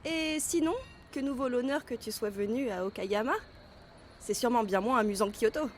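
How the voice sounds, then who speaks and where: A woman's voice: on the high side, normal speed, slight background noise, close to an everyday voice. A woman playing a friendly teenage girl. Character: cheerful mysterious teenage girl, class delegate. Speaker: lead character. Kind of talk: fan audio drama acting. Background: music.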